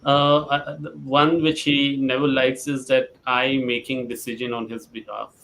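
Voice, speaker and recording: Dad on a panel answering questions, creaky gravelly voice, normal pitch. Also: male voice, little pitch movement, moderate pace, a bit bright, low-quality recording. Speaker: parent on a panel.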